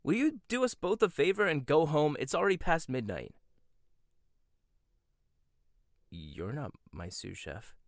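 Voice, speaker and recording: Man as a combative young chef, lively sarcastic delivery, dry close sound. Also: a little high, normal speed, dry recording. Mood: irritated. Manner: sarcastic, confrontational. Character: proud combative young male head chef. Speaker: voice actor. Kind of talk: audio roleplay.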